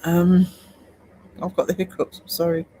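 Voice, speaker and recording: Livestream host, man, high-pitched, rambling and chatty with his viewers. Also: monotone, unhurried, mellow, slight background noise, poor audio. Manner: chatty, rambling, many fillers and restarts. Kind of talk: casual chat reading live comments.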